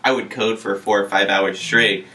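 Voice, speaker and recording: Young male podcast co-host, bright-voiced, casual, joking banter. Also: on the low side, steady tone, normal speed. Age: young adult. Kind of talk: casual comedic chat.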